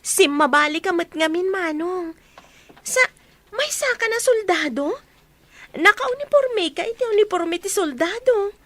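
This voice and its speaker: A woman's voice: very high voice, expressive, measured pace, a bit bright, full character voice. A woman playing a chatty, teasing young girl in radio drama dialogue. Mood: playful, curious.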